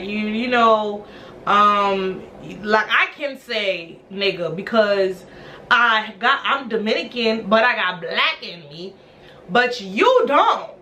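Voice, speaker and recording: A woman's voice: medium pitch, pushed and bright, a little hiss or hum. A woman casually recapping a reality show, chatty and blunt with slang and frequent laughs. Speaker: recap vlogger.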